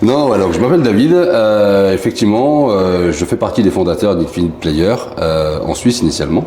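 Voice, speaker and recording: Low-voiced, chatty French-born man in a roomy room. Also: brisk, mellow. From France. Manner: chatty and jokey, self-mocking, many fillers. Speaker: founder of a poker company, interview guest. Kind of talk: casual interview answers. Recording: roomy room with faint noise.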